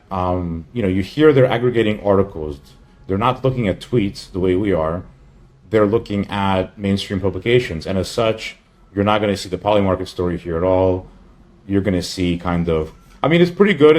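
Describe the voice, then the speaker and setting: A man giving a casual screen-share product update as a company chief, in a halting, rambling way with offhand asides. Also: low-pitched, a little mellow.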